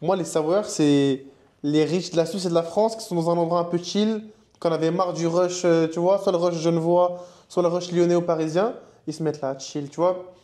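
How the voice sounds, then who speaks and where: A man's voice: a little high, fairly even intonation, moderate pace, dark tone. A young man raised in Canada and Switzerland, a guest in a casual interview, talking in a slangy conversational way. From Morocco, raised in Canada and Switzerland.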